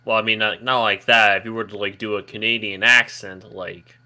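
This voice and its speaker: A man's voice: on the low side, fairly even intonation, fairly quick, pushed and bright. A man streamer chatting casually, rambling with lots of fillers and frequent giggles. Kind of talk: casual chat.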